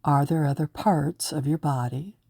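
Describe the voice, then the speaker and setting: A woman with a low, mellow voice narrates a guided meditation. She takes her time with each gentle, soothing instruction. The sound is close and dry, with no room around it. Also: normal speed, creaky, slightly rough, no echo.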